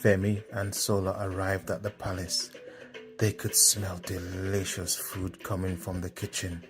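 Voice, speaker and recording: A man reads a children's story aloud as narrator. His voice sits fairly low and he takes his time over each phrase, with music running softly underneath. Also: completely flat delivery, measured pace. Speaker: storybook reader.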